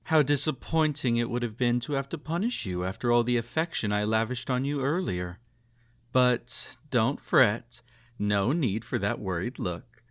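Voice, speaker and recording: A man's voice: medium pitch, animated, moderate pace, a bit bright. A man playing a cruel, possessive emperor in a roleplay audio drama, speaking in a formal, pompous, teasing and condescending way. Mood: silky, commanding. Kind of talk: scripted roleplay audio drama, intimate address to listener.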